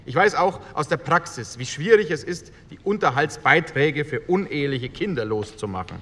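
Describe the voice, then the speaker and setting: Combative parliamentarian in an echoing hall. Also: male voice, fairly high pitch, animated, normal speed, pushed and bright. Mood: earnest, pointed. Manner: argumentative, emphatic. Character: combative, blunt communist parliamentarian in post-war Germany. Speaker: actor in a documentary radio play. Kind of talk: re-enacted parliamentary debate speech. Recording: echoey hall with background noise.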